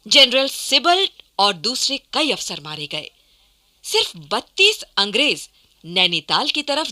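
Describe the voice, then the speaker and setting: Very bright, animated woman narrator. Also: on the high side. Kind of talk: scripted dramatic historical radio narration.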